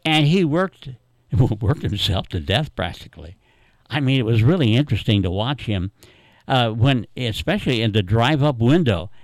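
A man hosts a radio call-in show, chatting and reminiscing in a halting, rambling, easygoing way. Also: medium pitch, no echo. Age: 80s. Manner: rambling, halting, chuckling storyteller. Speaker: radio talk host taking callers. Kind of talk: casual, unscripted reminiscing and chat.

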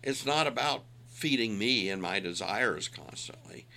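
Sixties male co-host, low gravelly voice, folksy self-deprecating talker. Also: expressive, unhurried, sharp tone, slight background noise. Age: 60s. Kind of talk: casual chat.

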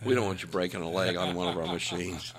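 A man's voice: low-pitched, fairly even intonation, fast talker, a bit bright, slight background noise. A man in his sixties chatting casually with a co-host, folksy and self-deprecating, leaning into emphatic points. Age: 60s. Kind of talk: casual chat.

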